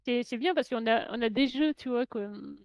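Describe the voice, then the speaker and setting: A woman's voice: a little high, normal speed, dry recording, lo-fi sound. A woman with a French accent, co-hosting a casual stream, laughing and protesting teasing. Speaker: co-host. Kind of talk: casual live stream chat.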